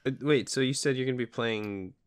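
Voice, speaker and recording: A man's voice: mid-range voice, brisk, clean tone, no room sound. A man hosting a casual podcast conversation, a little hesitant in phrasing, with dry joking asides. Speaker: podcast host.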